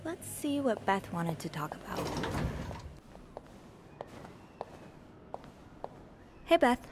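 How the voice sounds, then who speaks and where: An actress playing a friendly, inquisitive young hotel maid in a video game, talking casually with a slightly hesitant, teasing manner. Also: female voice, a little high, sing-song, moderate pace, a little mellow, slight background noise. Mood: casual, cheerful. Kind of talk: natural conversational game acting.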